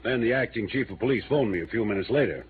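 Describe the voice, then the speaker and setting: Through a hissy, phone-thin old radio recording comes a courteous small-town mayor, acted by a man. He speaks formally and earnestly, with a lively rise and fall and a touch of uneasy hesitation. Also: fairly low pitch, quick pace, lightly acted. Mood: earnest, reassuring. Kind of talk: 1950s radio drama acting. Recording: noisy, narrow-band old radio recording.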